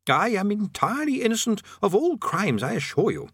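A man's voice: high voice, wildly swooping pitch, a little fast, fairly bright tone, slightly rough, no room sound, studio quality, pitched above the performer's own voice, heavily acted. An elderly storybook prisoner, as a man narrator plays him, speaks in a raised, lilting voice. He is good-natured and a little worried, choosing hesitant, courteous, old-fashioned words. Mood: earnest, rueful. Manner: polite, hesitant, quaintly formal.

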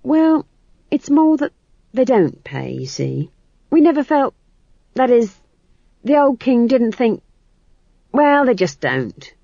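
A woman's voice: sits high, very animated, slow, creaky, long pauses, warm tone, no room sound, dull audio, pitched above the performer's own voice, heavily acted. A woman voicing a timid, obsequious old male chamberlain in a storybook, hesitant and deferential. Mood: nervous, miserable.

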